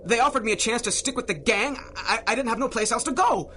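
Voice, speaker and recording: An actor playing a nervous, whining low-level gangster in a radio drama, with stammering, slangy tough-guy talk. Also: fast talker, pushed and bright, slight background noise. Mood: frightened. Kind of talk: old-time radio drama acting.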